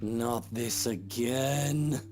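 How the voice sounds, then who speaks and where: A man's voice: mid-range voice, extremely slow, darkish tone, slight background noise. A man voicing a weary, curt young businessman husband in a visual novel, dry and grumbling. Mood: stern. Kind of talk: visual novel character reading.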